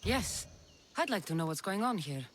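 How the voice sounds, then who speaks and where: A tough mercenary from an ancient-world game. She is dry and self-assured, with lots of movement in her pitch. Faint ambience sits behind the voice. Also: very animated, normal speed, slight background noise. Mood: curious. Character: tough, wry female mercenary in ancient Greece. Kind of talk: video game cutscene acting.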